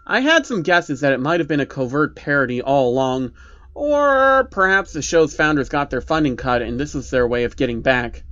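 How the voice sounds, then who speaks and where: A man's voice: on the high side, lively intonation, moderate pace, bright, forceful tone, dry recording, lo-fi sound. A man narrating a creepy first-person story as a storyteller. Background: background music.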